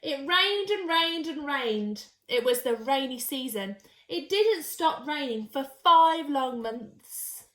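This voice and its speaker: A woman's voice: high voice, animated, slow, fairly bright tone. A woman reading a picture book to young children, expressively and with dramatic emphasis. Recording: echoey, roomy sound.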